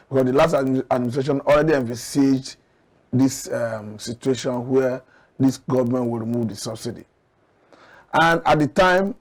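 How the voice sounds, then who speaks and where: A man with a Nigerian accent, arguing his points deliberately and emphatically as an interview guest analysing politics. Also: mid-range voice, darkish tone. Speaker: studio guest, commentator.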